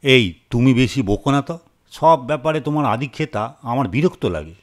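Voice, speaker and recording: A man's voice: medium pitch, lively intonation, moderate pace, natural voice. A man voicing a lazy, callous, irritable husband in a cartoon, dismissive and scolding. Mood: irritated, scolding. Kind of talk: cartoon voice acting.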